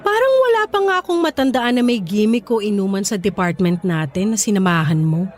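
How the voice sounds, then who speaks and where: A young woman voicing a supportive girlfriend in casual, expressive radio drama dialogue. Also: medium pitch, brisk, natural voice. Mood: reassuring. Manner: expressive, casual, sympathetic, code-switching into English. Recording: faint music under dialogue.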